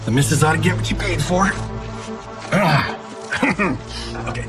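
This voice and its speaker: An actor playing a cocky, flippant Hollywood action star in a video game, with a sarcastic, theatrical delivery. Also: male voice, on the high side, expressive, unhurried, over music. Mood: amused. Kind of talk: cinematic video game acting.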